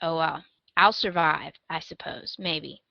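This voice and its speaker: A woman's voice: low voice, fairly even intonation, unhurried, gravelly, dry recording, muffled recording. A woman co-hosting a podcast, chatting casually in a conversational, wry and emphatic way as she gives recommendations. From United States. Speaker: podcast co-host.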